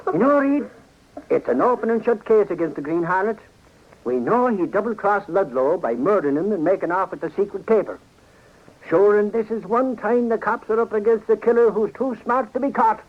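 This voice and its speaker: On a muffled old radio drama, a blustering Irish investigator. His voice sits high, and he talks in a simple, folksy, slightly sheepish way. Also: animated, a little fast. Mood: blustery. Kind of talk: 1940s radio acting. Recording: dull lo-fi 1940s radio recording.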